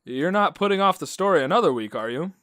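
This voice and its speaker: A male announcer and host of a radio drama, with a fairly high, very lively voice. His scripted delivery is polished and theatrical, recorded close and dry. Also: fast, clean tone, no echo.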